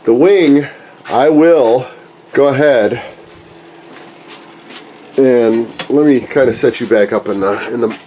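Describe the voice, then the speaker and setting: A man's voice: mid-range voice, slow pace, long pauses, dark tone, a little hiss or hum, rough recording. A man in his forties, congested from a cold, chatting casually in a rambling, self-correcting way while filming in his home workshop on a handheld camera. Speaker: RC plane hobbyist and channel host. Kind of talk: casual workshop vlog chat. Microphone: handheld camera held close.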